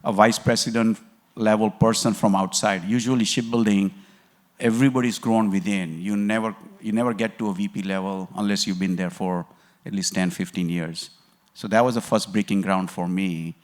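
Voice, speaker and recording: A man's voice: fairly low pitch, steady tone, normal speed. A man in his sixties from Kenya, giving a rambling conference talk with lots of fillers and restarts. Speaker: conference speaker.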